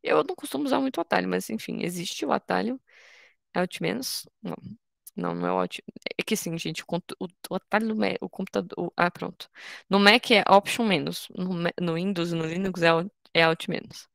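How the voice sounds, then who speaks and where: Young woman from Brazil, coding workshop teacher; relaxed explainer, some vocal fry, dry close mic. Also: on the low side, fairly quick, dry recording. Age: 20s. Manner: patient, informal, explains step by step with restarts. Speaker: workshop instructor. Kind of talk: online coding tutorial.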